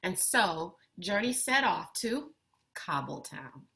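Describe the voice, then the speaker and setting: A woman reading a children's picture book aloud with deliberate, expressive phrasing. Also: lowish voice, gravelly. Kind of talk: read-aloud storytelling for children.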